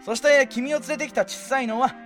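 A man playing an affable, talkative high school boy who recruits for his club in a comedy voice drama. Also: steady tone, brisk, fairly bright tone, faint music behind. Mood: friendly. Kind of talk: acted dialogue in a youth comedy voice drama.

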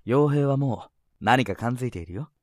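A man acts out a confident, scheming high school senior in a romantic-comedy audio drama. He talks smoothly and teasingly with very animated intonation. The recording is close and dry. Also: normal speed, dry recording, natural voice. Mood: sly, persuasive. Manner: smooth-talking, playful, insinuating.

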